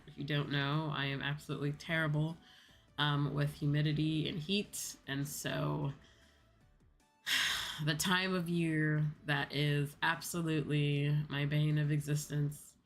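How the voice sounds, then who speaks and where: A young woman with a notably low, deep voice, chatting in a loose, rambling way and grumbling lightly about the weather. Soft music plays faintly underneath. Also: flat delivery, measured pace. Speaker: streamer host. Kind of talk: casual chat.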